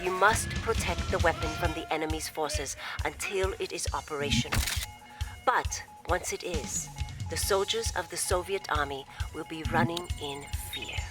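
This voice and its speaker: An actress playing a poised, devoted military logistics officer in a video game cutscene, speaking in a formal, measured and deferential way. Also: female voice, deep voice, lightly acted. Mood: urgent, zealous. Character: poised, devoted female imperial logistics officer briefing commanders. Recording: loud music behind the voice.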